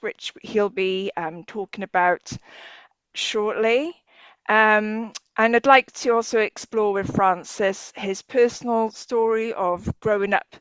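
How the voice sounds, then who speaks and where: A woman's voice: mid-range voice, clean tone, no echo, highs cut off. A woman hosting an interview in a semi-formal way, introducing and questioning a guest. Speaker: interviewer, host.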